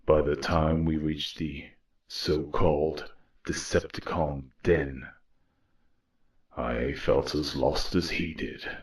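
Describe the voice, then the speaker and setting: An actor playing a weary, solemn robot war leader in an audio drama, speaking in a grave, measured way. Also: male voice, very deep voice, fairly even intonation, slightly rough, exaggerated voice. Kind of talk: audio drama dialogue and first-person narration.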